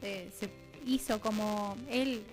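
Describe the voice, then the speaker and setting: A woman with an Argentine accent explaining things conversationally as an interview guest, halting and correcting herself as she goes. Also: mid-range voice, fairly even intonation. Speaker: interview guest, radio drama scriptwriter. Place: radio studio. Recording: music clearly playing behind voice.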